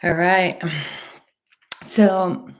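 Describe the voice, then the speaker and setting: A woman from Turkey and Los Angeles hosting a casual livestream, talking to viewers in a gentle, unhurried, spiritual wellness manner. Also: lowish voice, level tone. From Turkey and Los Angeles, USA. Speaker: host, hypnotherapist and mentor.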